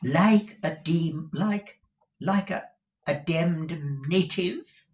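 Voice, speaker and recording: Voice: medium pitch, extremely slow, darkish tone, roomy sound, lo-fi sound, pitched above the performer's own voice. A reader voicing a foppish, affected husband in a drawling, exclamatory and melodramatic way, in a storybook. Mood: leering, amused. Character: foppish, affected, dissolute husband, theatrically effusive.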